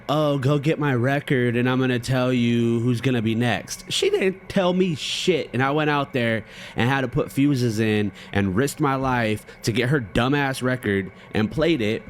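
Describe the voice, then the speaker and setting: A man's voice: mid-range voice, a little hiss or hum. An American man doing casual, slangy game commentary, thinking aloud as he plays. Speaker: gaming streamer.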